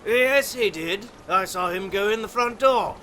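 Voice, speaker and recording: A man's voice: sits high, unhurried, pushed and bright. A man playing an insistent, testy Victorian businessman in an audio play, emphatic and argumentative. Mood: insistent, irritated. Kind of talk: audio theatre acting. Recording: faint background noise.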